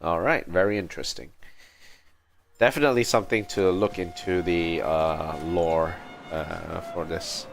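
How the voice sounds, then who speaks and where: A man's voice: low voice, fairly even intonation, a little slow, gravelly, slight background noise. A man livestreaming a video game, musing and thinking aloud in casual commentary.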